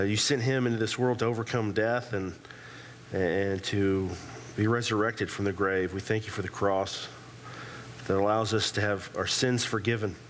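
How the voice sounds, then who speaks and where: A man's voice: medium pitch, fairly bright tone, big-room sound, slight background noise. A pastor with a cold and a congested voice, talking casually to his church with many "uh"s and restarts, praying and telling a family story. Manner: conversational, frequent "uh" fillers and restarts. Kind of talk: sermon with prayer and personal story. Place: church sanctuary.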